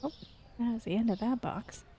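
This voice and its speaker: A woman's voice: fairly high pitch, fairly quick, warm tone, slight background noise. Casual, rambling American woman chatting. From Texas, United States. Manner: rambling, self-deprecating storytelling. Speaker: gaming video host. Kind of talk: casual chatty gameplay commentary.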